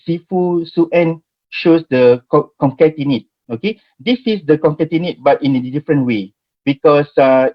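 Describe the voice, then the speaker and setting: A man with a Malaysian accent walks learners through spreadsheet formulas in a chatty, animated way, checking in often with "okay?". His voice sits a touch high and swings widely in pitch. The sound is dull and lo-fi, like an online stream. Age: 40s. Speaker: Excel trainer. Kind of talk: live screen-share spreadsheet tutorial.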